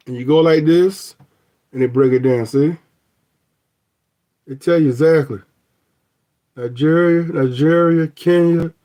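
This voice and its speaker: Slow-talking, joking middle-aged man recording on his phone. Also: on the high side, darkish tone. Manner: rambling, joking, with laughs and restarts. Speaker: vlogger. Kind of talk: casual review of DNA results.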